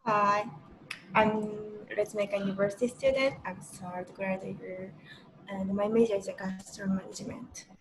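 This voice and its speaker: Young woman student, kinda halting, lots of likes, bit of faint noise behind. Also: mid-range voice, steady tone. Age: young adult. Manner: halting, fillers like "like" and "uh". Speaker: undergraduate student guest. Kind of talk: casual panel talk.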